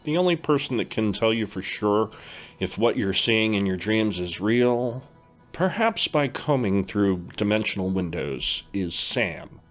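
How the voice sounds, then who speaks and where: A man's voice: fairly low pitch, a little slow. Man playing a reassuring older brother. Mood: warm. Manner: reassuring, steady. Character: calm older-brother dream instructor, reassuring. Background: faint background music.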